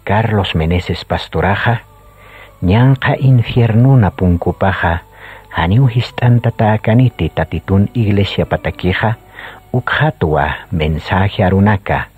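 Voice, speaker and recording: A man with a Bolivian accent narrating a scripted radio drama in solemn, measured phrases. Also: lowish voice, husky. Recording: muffled radio audio, music underneath. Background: faint music.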